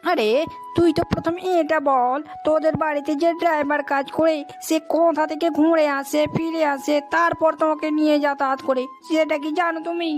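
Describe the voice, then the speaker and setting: A woman's voice: high voice, steady tone, fairly quick, soft background music, close to an everyday voice. A woman voicing a nagging, no-nonsense female animal in a cartoon, with a scolding manner. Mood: challenging.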